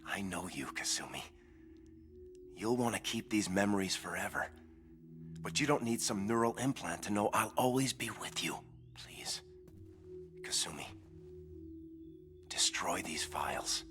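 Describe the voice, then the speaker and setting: Game role: tender dying lover, low-pitched, hushed and faltering, music underneath. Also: male voice, animated. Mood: tender, pleading. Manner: hushed, halting, breathy delivery. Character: dying tender male lover leaving a last recorded message. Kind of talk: dramatic video game acting. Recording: dramatic music behind the voice.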